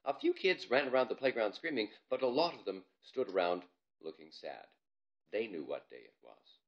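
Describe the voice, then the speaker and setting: A man's voice: on the low side, animated, normal speed, highs cut off. A man, an author, reading his children's story aloud in a theatrical, comic storytelling manner. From Canada.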